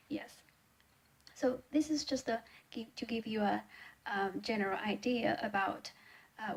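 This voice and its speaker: A young woman from China with a Chinese accent, explaining to camera as a Chinese tutor, earnest and halting with many ums. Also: fairly high pitch, gravelly, poor audio. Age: young adult. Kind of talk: casual teaching to camera. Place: small indoor room at home. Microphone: laptop webcam.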